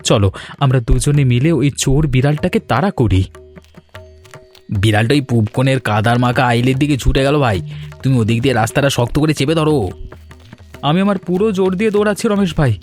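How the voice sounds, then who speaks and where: A man voicing an excitable, eager young village son-in-law in an animated folk tale, emphatic and respectful. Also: mid-range voice, fast talker, long pauses, faint music behind, lightly acted. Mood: urgent.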